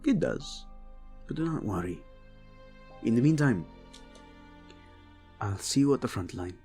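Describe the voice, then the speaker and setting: A man's voice: mid-range voice, lively intonation, measured pace, a little mellow, soft background music. A man playing a confident, loyal stealthy fighter in a fantasy audio drama, speaking in a light, reassuring way.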